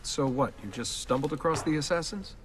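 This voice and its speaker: An actor playing a laid-back young assassin recruit in a video game, plain-spoken and questioning in a casual way. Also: male voice, on the high side, fairly quick, a little hiss or hum, natural voice. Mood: curious. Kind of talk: game cutscene dialogue. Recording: music under the dialogue. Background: music.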